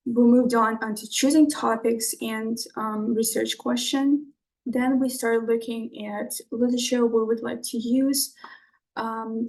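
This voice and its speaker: Flat-voiced teenage girl from Uzbekistan. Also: fairly high pitch, creaky, poor audio. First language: Russian. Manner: measured, with ums between phrases. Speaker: student researcher presenting. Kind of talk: presentation talk.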